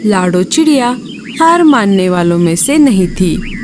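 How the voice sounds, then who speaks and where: A woman's voice: medium pitch, very animated, a little slow, background noise. A woman storyteller reading a scripted children's story.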